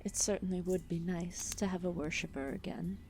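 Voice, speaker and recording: A woman voicing an ancient, lethargic serpent goddess, protective and calmly menacing, in a formal, soothing, intimate ASMR roleplay with whispered phrases. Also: on the low side, fairly quick, slight background noise, lightly acted. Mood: wistful, gentle. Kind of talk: ASMR roleplay, intimate soft-spoken acting.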